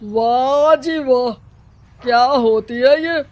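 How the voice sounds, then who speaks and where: Someone voicing a high-voiced, bossy village headman. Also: drawn-out words. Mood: commanding. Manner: commanding and scornful. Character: stern bossy male village headman, domineering. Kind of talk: cartoon story voice acting.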